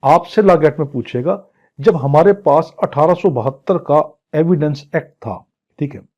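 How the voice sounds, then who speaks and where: A man's voice: on the high side, unhurried, darkish tone. A man with a Pakistani accent teaching as a law lecturer, drilling points repetitively and mixing in English technical terms. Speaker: law lecturer, exam coach. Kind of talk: instructional lecture with English legal terms mixed in. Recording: dull, muffled lo-fi audio.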